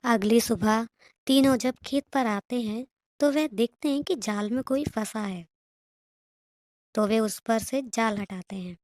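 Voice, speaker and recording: A woman storyteller with a soft, mellow voice, slightly high in pitch. She reads cartoon story narration in a dry recording. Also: a little slow, no room sound.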